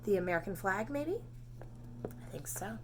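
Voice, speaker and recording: A woman teacher reading a storybook to preschoolers, expressive and dramatic, pausing for simple questions and explanations. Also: mid-range voice, background noise. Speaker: preschool read-aloud teacher. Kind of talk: children's storybook narration with asides and questions.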